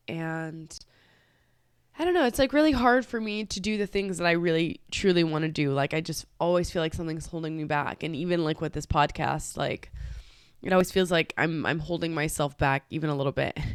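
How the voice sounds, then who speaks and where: A woman's voice: on the low side, normal speed, clean tone, no echo, clean studio sound. A woman hosting a podcast, speaking casually and hesitantly with many fillers and restarts. She sounds nasal from a cold and talks from her room at home. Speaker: podcast host. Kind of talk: casual confessional podcast talk.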